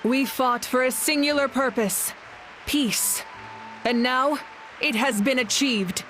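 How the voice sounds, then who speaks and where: A woman playing a solemn, warm leader of a warrior nation, speaking with formal ceremony in a video game cutscene. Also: high-pitched, slow pace, soft background music. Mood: solemn, proud.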